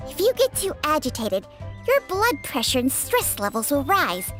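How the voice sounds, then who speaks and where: A woman voicing a soft-spoken young girl, a doctor's earnest helper in a video game, with a gentle manner. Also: high-pitched, huge pitch swings, normal speed. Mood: earnest, concerned. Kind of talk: scripted video game voice acting. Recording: music behind the voice. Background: background music.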